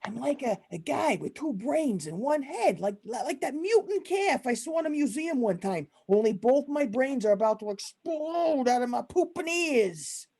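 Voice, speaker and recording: A woman's voice: high voice, very animated, moderate pace, hardly any pauses, warm tone, breathy edge, full character voice. A woman playing an exasperated male mob boss who runs a pizza parlor in a comic radio play, talking in melodramatic, colloquial tough-guy style. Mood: agitated, confused.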